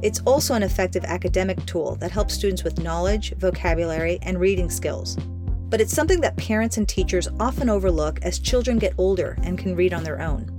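Lowish woman introducing, over guitar music. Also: lively intonation, brisk. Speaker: show presenter, executive. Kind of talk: scripted podcast introduction.

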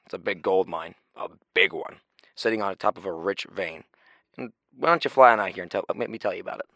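A teenage boy acts an urgent businessman in an old-time radio play. His voice is low, and he talks persuasively, like someone selling a big scheme. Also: fairly quick, vocal fry, fairly bright tone, no echo, full character voice. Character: male soda company man pitching a gold mine.

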